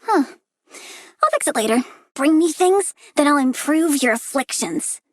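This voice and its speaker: Bratty plant girl villain for a game, super high cutesy voice, really bouncy pitch, sighs and scoffs at humans. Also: a bit bright, dry recording, studio quality, heavily acted. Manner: petulant, cutesy, childlike delivery with sighs and scoffs. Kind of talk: video game character voice lines.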